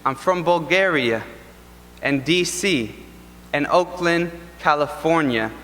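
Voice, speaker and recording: A young man performing spoken word poetry at an event, with a rhythmic, deliberate and impassioned delivery in a large ballroom. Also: a little high, fairly bright tone. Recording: long reverb from a large ballroom.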